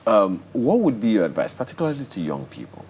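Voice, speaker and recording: Male morning TV host, Nigerian accent, low pitch, playful interviewer, some background noise. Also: wildly swooping pitch, fast, a little mellow, highs cut off. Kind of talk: live television interview chat.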